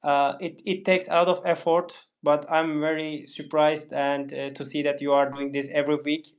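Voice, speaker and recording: A man's voice: fairly high pitch, little pitch movement, normal speed. A man whose first language is Turkish, speaking politely and carefully as an audience guest on a tech chat. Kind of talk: casual chat.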